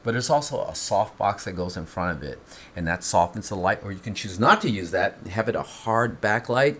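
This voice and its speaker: A man in casual instructional chat, explaining his film gear step by step with lively, teasing asides. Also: fairly low pitch, fairly quick.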